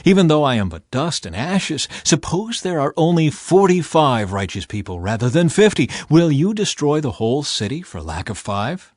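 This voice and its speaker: A man's voice: a little high, very animated, moderate pace, few pauses, darkish tone, dry recording, studio quality, pitched above the performer's own voice. A man playing a humble, earnest old patriarch who pleads deferentially in a dramatized scripture audiobook. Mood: earnest pleading.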